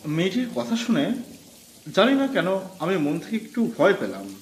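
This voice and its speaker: A man narrating a first-person ghost story in an expressive, dramatic manner. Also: high voice, moderate pace, slight background noise. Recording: roomy with faint music.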